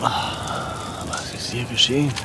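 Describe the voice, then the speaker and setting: An actor playing a dazed, wounded man coming round in despair in a German radio drama, groggy and halting. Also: medium pitch, steady tone, heavy background noise, lightly acted. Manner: groggy, halting, fading to whispers.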